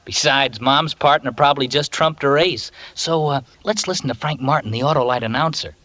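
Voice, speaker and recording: An actor playing a perky, eager son in a folksy 1940s radio commercial skit. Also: male voice, mid-range voice, fairly quick, sharp tone, close to an everyday voice. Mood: cheerful, teasing. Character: chipper, eager son riding with his dad.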